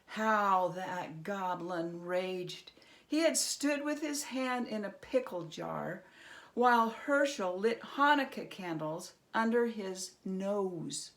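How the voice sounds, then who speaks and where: Slow, creaky-voiced woman reading a story. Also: a little high. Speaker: storybook reader. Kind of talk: scripted story narration.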